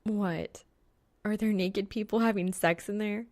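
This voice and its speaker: A woman's voice: mid-range voice, fairly even intonation, measured pace, a little mellow, close to an everyday voice. A woman voicing a bold young female gamer, blunt, amused and teasing, in an audiobook story. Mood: wary, blunt.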